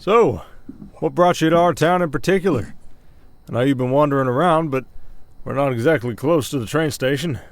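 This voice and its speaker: A man's voice: a little high, a little fast, no echo, close to an everyday voice. A man playing a folksy, hesitant werewolf sheriff with a Southern Old West drawl in an ASMR roleplay. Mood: curious, amused. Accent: American Southern, Old West drawl. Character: folksy werewolf sheriff of an Old West town, long-lived but young-faced. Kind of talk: roleplay audio acting, ASMR. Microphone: close studio mic, dry.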